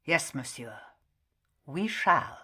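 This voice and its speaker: A woman's voice: sits low, expressive, slow, bright, forceful tone, breathy edge, full character voice. An actress playing a stern, dignified female opera box keeper in an audio drama, formal and clipped. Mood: cool. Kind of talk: full-cast audio drama acting.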